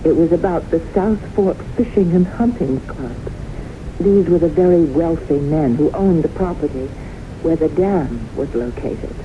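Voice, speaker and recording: An actress in an old-time radio drama playing a haunted widow who tells her story in a dramatic, deliberate, earnest way. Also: low voice. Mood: reminiscing. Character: haunted widow solemnly recounting her brushes with death. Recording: dull, hissy old radio broadcast.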